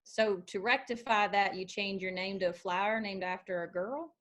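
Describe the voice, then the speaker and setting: An actress playing a feisty young honky-tonk manager in a screenplay table read, with dry, quick-witted retorts. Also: female voice, medium pitch, fairly bright tone, natural voice.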